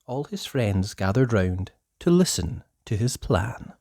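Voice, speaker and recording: Children's book narrator, theatrical and deliberate, very wide swoops of pitch, slow delivery, dry close sound. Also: sing-song, dry recording. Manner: theatrical, deliberate, with hushed passages and emphatic words. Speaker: storyteller reading a children's book aloud. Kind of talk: expressive children's story narration.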